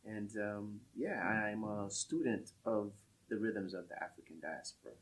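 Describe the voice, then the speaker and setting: A man from Brooklyn, New York, a percussionist introducing himself as an interview guest, speaking in a measured, relaxed way and pausing to find his words. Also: fairly low pitch, breathy edge, rough recording. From United States (Brooklyn, New York).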